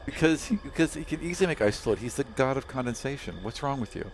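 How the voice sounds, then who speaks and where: A man's voice: mid-range voice, expressive, fast talker. A man with a mid-range voice narrates a tabletop roleplaying game as the game master. His delivery is informal and jokey, like talk among friends. There is faint noise in the recording.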